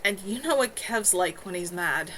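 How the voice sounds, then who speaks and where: A woman's voice: mid-range voice, animated, normal speed, a bit bright, natural voice. A woman voicing a frank, caring woman healer in a storybook reading. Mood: grave. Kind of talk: amateur storybook dialogue reading. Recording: noisy home livestream audio.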